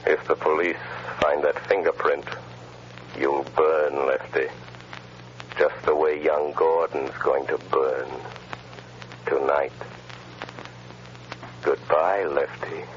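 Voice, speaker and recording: An actor playing a mysterious male avenger in a 1930s radio drama who taunts a crook with slow, deliberate menace. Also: a bass voice, flat delivery. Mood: menacing. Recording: dull, faintly hissy 1930s radio transcription.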